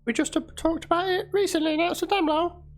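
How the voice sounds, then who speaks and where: A woman's voice: very high voice, fairly even intonation, fairly quick. A woman reading one side of a written chat exchange aloud, as part of a dramatic reading. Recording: music under the voice. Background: instrumental background music.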